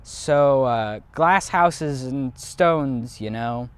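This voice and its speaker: A man's voice: mid-range voice, drawn-out words, smooth. A young man hosting a comedic video essay, sarcastic, profane and self-deprecating.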